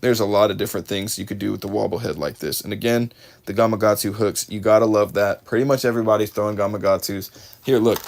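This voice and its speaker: A fairly low-voiced man with a drawl talks through tackle on a livestream, chatting casually with viewers and correcting himself often. His voice sounds slightly worn, as if he is losing it a bit. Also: darkish tone, a little hiss or hum. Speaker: fishing livestream host. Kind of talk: casual live unboxing chat.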